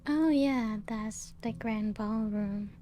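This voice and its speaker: A woman playing a genteel, serene, playful ancient vampire in a soft-spoken ASMR roleplay, with a breathy, often half-whispered voice and gentle lilt. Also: on the high side. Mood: nostalgic, gentle. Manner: slow, unhurried, gently lilting storytelling. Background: music.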